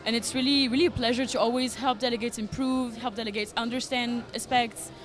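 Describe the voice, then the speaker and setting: A young woman from Paris, an interview guest speaking warmly and with enthusiasm. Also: fairly high pitch, steady tone, brisk. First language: French. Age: young adult. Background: background music.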